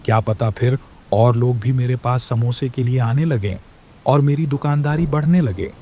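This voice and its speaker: A man's voice: mid-range voice, moderate pace, warm tone, a little hiss or hum, close to an everyday voice. A man voicing a scheming, envious shopkeeper in a children's cartoon, with a calculating, grumbling manner. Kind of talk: Hindi children's moral-story cartoon dub.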